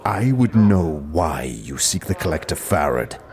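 Guy doing a solemn stony dustman, really low voice, formal and measured, fantasy audio play. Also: big pitch swings, crowd noise, exaggerated voice. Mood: grave, solemn. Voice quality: gravelly, stony. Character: solemn heavy-set metal-skinned dustman elder. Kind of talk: fantasy audio drama acting.

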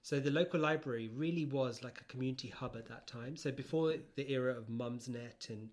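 A man's voice: mid-range voice, fairly quick. A middle-aged man with a London accent, chatting casually on a podcast in a campy, sassy, anecdotal way. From central London, England. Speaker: podcast co-host and interviewee.

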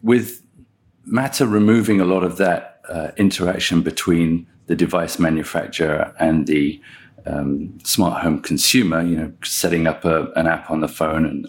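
A man hosts a podcast interview and frames each question at length. His voice is low and gravelly, with a creak running through it. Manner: asks long framing questions. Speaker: guest host, research director.

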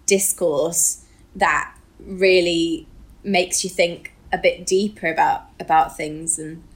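A woman's voice: fairly low pitch, fairly even intonation, slow. British woman, informal podcast chat. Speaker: podcast co-host.

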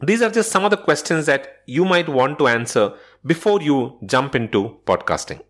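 A man's voice: a little high, expressive, moderate pace. A man presenting a scripted solo podcast as its host, speaking in an instructional way directly to listeners.

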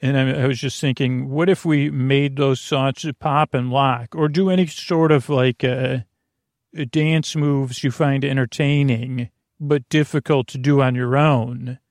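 A man with a gravelly voice, hosting a bedtime podcast in a meandering, halting monologue full of self-interruptions and tangents. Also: mid-range voice, steady tone, a little mellow, no echo, studio quality. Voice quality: creaky, gravelly. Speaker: podcast host.

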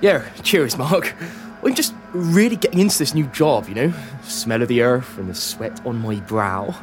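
A man's voice: medium pitch, animated, normal speed, over music, close to an everyday voice. An actor playing a male colony farm worker in an audio comedy drama. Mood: contented.

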